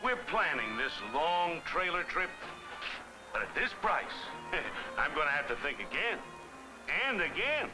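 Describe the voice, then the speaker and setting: Mild fretful husband, lively pitch. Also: male voice, measured pace, low-quality recording. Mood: worried. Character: mild, fretful husband worried about expenses. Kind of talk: 1970s educational film acting. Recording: 1970s film soundtrack with music.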